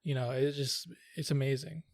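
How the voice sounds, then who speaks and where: A man's voice: medium pitch, fairly even intonation, moderate pace, no room sound, clean studio sound. A young man co-hosting a podcast, rambling and searching for words as he asks questions. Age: young adult. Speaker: podcast co-host. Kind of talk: casual chat, asking questions.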